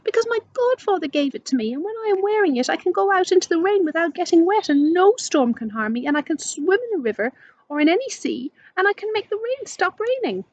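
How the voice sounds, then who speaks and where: A woman's voice: very high voice, big pitch swings, a little fast, darkish tone, no room sound, pitched above the performer's own voice. A woman voicing an eager little girl pleading urgently, in a storybook reading. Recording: dull lo-fi recording.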